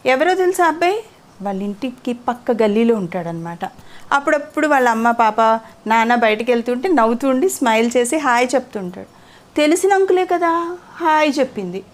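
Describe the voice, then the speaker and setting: Female storyteller, high voice, chatty bedtime-tale delivery that quizzes the young listener. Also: animated, fairly quick. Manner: interactive, asks the child questions. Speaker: storyteller to a child. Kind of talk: conversational bedtime moral storytelling.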